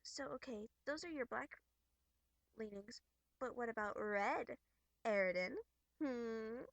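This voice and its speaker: A high, bubbly young troll girl, acted out by a woman in an amateur webcomic voice performance. She is theatrical and expressive, scolding yet caring and earnest. The sound is close and dry. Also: slow, no echo. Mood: coy, curious. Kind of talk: amateur character voice acting from a webcomic.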